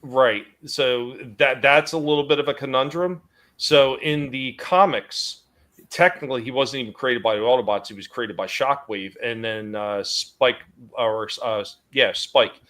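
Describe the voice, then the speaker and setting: A man with an average-pitched voice hosts a casual collectors' talk. He speaks conversationally and explains the finer details at length. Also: a little slow, fairly bright tone. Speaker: host of a toy collecting show. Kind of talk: casual chat explaining toy history.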